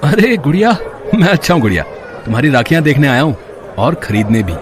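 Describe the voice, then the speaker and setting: A kind, playful big brother played by a man in a cartoon story. His delivery is theatrical and drawn out, swinging widely in pitch while staying reassuring. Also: very animated, quick pace, a crowd in the background, noisy background. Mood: encouraging. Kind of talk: dramatised Hindi cartoon storytelling.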